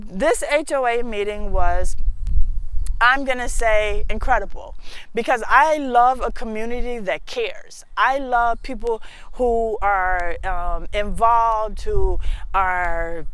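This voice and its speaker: An American woman from Houston, Texas, hosting her own talk show, telling personal stories in an emphatic, expressive, informal way and repeating phrases for effect. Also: a little high, rough recording. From Houston, Texas, United States. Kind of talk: informal opinionated personal storytelling to viewers.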